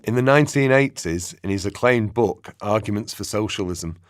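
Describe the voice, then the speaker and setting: A man's voice: fairly low pitch, moderate pace, no room sound, clean studio sound. A man narrating a historical radio play in a scripted documentary style.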